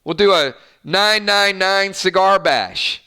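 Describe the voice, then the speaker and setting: Bright, upbeat male live-stream host. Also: high-pitched, slow, smooth. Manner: chatty, upbeat, lots of fillers and restarts. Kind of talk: casual live-stream chat reading viewer comments.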